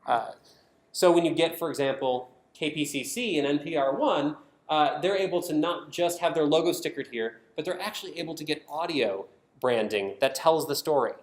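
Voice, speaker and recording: A man's voice: a little high, lively intonation, normal speed. A man giving a prepared conference presentation, explaining his points with emphatic stresses. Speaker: conference presenter, design team member at a public radio network. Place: indoor conference talk. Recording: echoey room sound.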